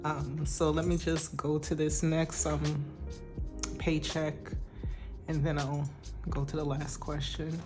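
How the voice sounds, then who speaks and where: A woman with a low, shaky voice that is not strong, talking slowly in a flat, even tone. She chats casually about her money, pausing with hesitant ums. Music plays clearly behind her. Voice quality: weak, shaky voice. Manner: slow and soft-spoken, with hesitant ums and light laughs. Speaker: budgeting vlogger. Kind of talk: casual chat.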